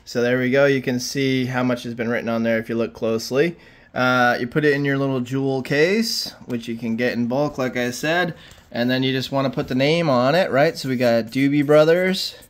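A man in his thirties hosting a casual step-by-step computer tutorial, talking through each click in a relaxed, plain way. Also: mid-range voice, moderate pace. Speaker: tutorial host.

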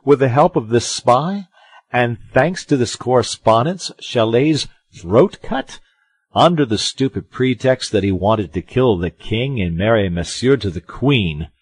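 A man's voice: medium pitch, lively intonation, measured pace, breathy edge, dry recording, pitched above the performer's own voice. A man voicing a boastful, blustering musketeer in a storybook reading, with pompous, emphatic, theatrical delivery. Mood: indignant bluster. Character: boastful, loud, self-satisfied male musketeer, blustering and theatrical. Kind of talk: audiobook character acting.

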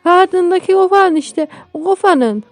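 Woman playing a shrill, frightened village boy. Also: expressive, unhurried, no echo, soft background music. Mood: panicked. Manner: stammering, urgent, shrill. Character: frightened young peasant boy, jumpy and breathless.

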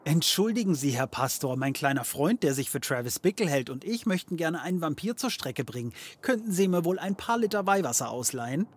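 An actor playing a cocky, scheming occult detective in a comedy audio drama, wry and theatrical. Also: male voice, fairly high pitch, big pitch swings, a little fast, few pauses, husky. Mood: cheeky, amused. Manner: wry, theatrical, mock-patronising.

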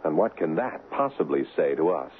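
An actor playing a skeptical, emphatic young fiancé in a 1970s radio drama. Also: male voice, extremely low pitch, very animated, normal speed. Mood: skeptical, insistent. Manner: dismissive, emphatic. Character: skeptical young fiancé, a man teasing his sweetheart. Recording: muffled, noisy 1970s radio broadcast.

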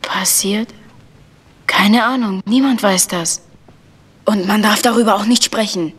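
A woman playing a frightened, secretive village boy in a German radio crime drama, hushed and hesitant. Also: mid-range voice, measured pace, slightly rough, long reverb tail. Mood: evasive.

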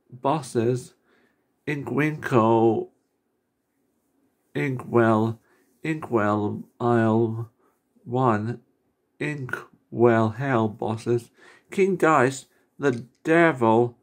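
A man reading amateur character voice lines indoors in a halting way, stumbling over words. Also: medium pitch. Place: indoors at a computer desk.

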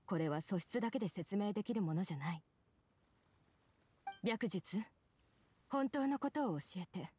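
A woman playing a grave, worried, perceptive physician in a video game, speaking in a measured, serious way. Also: medium pitch, expressive, dark tone, breathy edge. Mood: serious, probing. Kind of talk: dramatic Japanese game voice acting.

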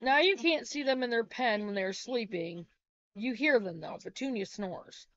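A woman's voice: a little high, lively intonation, moderate pace, fairly bright tone, no echo. A middle-aged woman, a homesteading vlogger, reads a practical how-to narration in a small wood-paneled room, with small self-corrections. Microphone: laptop webcam. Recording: dull, lo-fi webcam sound.

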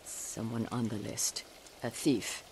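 An actress playing an older samurai noblewoman, grieving and vengeful, who speaks in a grave, measured way. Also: a bass voice, flat delivery, creaky, darkish tone, a little hiss or hum, exaggerated voice, low-quality recording. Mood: stern. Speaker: voice actor. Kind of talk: dramatic game acting.

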